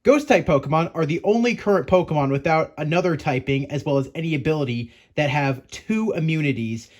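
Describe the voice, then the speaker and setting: A man's voice: fairly high pitch, a little fast, darkish tone. A man hosting an explainer show, narrating in a casual scripted style and talking conversationally to the viewer, posing questions and answering them. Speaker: host of a Pokemon explainer show.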